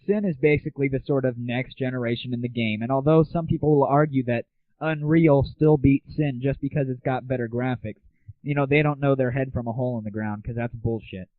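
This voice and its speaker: A man's voice: fairly even intonation, fairly quick, no echo. A young American man hosting an audio show, chatting sarcastically and irreverently in a casual comic rant and review style. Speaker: host of an online gaming audio show. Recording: tinny, phone-like low-bandwidth audio, very dry.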